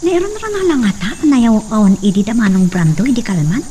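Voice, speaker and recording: A woman's voice: mid-range voice, lively intonation, a little fast, mellow, a little hiss or hum, close to an everyday voice. A woman playing a worried young woman who muses aloud in a radio drama.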